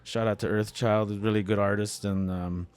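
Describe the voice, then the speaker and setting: A middle-aged man from Canada talks as a podcast guest in a cafe, his voice sitting low. He rambles, restarting sentences and padding them with fillers. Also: flat delivery, fairly quick, clean tone, no echo. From Canada, born in Winnipeg. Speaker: guest, comedian and beatmaker. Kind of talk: casual interview conversation.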